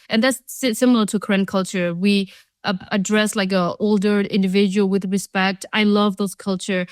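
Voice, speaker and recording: A woman's voice: mid-range voice, clean tone, no echo, studio quality. A young woman from Thailand, a social enterprise founder, telling her story earnestly and chattily as an interview guest. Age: young adult. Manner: earnest, chatty, many "you know" and "like" fillers.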